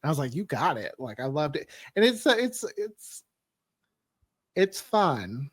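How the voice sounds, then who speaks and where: Guy chatting away excitedly, voice a bit high, really dry recording. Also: unhurried, slightly rough, dry recording. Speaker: audio drama writer. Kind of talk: casual group chat.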